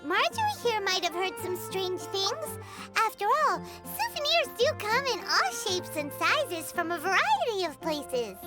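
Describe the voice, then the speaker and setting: A teeny chirpy fairy sidekick for a game, squeaky kid voice, super high and bouncy, loud playful music. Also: female voice, measured pace, exaggerated voice. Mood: inquisitive. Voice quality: squeaky, childlike. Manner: excitable, speaks of herself in third person. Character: tiny floating fairy companion girl, chirpy and inquisitive. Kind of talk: video game voice acting. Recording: music behind.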